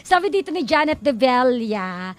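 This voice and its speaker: A woman's voice: sits high, very animated, moderate pace. A woman with a Filipino accent co-hosting a casual radio show, bantering playfully and giving advice as she reads out listener comments. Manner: playful, teasing.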